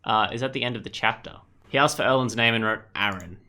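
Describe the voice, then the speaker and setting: A young male gaming host with a fairly deep voice and a bright, forceful edge. He comments casually as he plays through a game, chatting and reading lines out. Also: fast talker.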